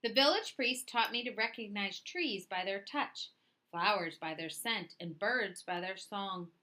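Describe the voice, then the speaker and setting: Bright-voiced woman reading a children's story. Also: medium pitch. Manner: dramatic, deliberate phrasing with acted dialogue. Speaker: storyteller reading a picture book aloud. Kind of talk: expressive children's read-aloud narration.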